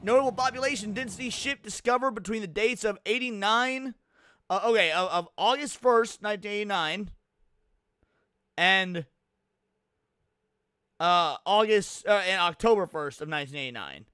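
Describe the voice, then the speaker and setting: A man with a high, clear voice streams casual reaction commentary. He talks in loose slang with plenty of swearing and an excitable manner. The sound is close and very dry. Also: moderate pace, pauses often, dry recording. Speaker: streamer reacting to horror videos.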